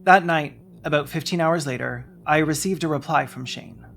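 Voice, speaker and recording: A narrator reading a scripted video essay in a measured way, including emails read aloud. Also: medium pitch, creaky, faint music behind.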